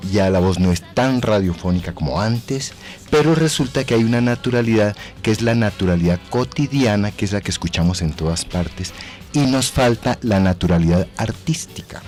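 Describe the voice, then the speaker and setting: An older man with a low voice talks as an interview guest. He explains his points like a teacher, adding little tag questions, while music plays behind him. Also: a little mellow. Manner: didactic, explanatory, seeks agreement with tag questions. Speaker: guest voice actor. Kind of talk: thoughtful radio interview talk.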